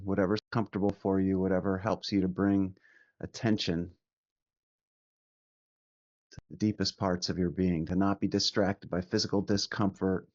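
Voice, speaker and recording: A man leading a guided meditation as a teacher, speaking gently and soothingly with long pauses between short instructions. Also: low-pitched, no room sound, highs cut off.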